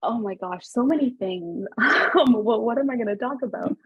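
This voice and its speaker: Chatty thirties woman guest, husky, dull dry recording. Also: a little high, lively intonation, normal speed, no echo. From Sri Lanka, raised in Canada. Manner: chatty, lots of "like" and restarts, laughs easily. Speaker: podcast guest, business founder. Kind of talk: casual interview chat.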